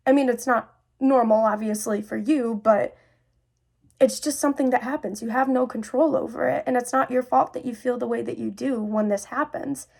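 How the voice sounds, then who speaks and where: A woman's voice: fairly high pitch, vocal fry, a little mellow. A young woman in her twenties speaking casually and personally to viewers as a vlog host, in a reassuring, conversational manner. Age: 20s.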